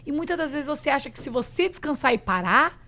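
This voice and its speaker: A woman hosts a relaxed podcast conversation. Her voice sits high, and she backs up her guest with short agreeing remarks and her own brief stories. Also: fast talker. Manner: agreeing, supportive interjections. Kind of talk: casual chat.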